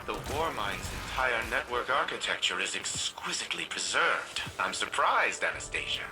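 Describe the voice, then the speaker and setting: An actor playing a proud, scheming old scientist and grandfather in a video game, talking in a smug, measured, formal way. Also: mid-range voice, sing-song, music in the background, low-quality recording. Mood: grandly persuasive.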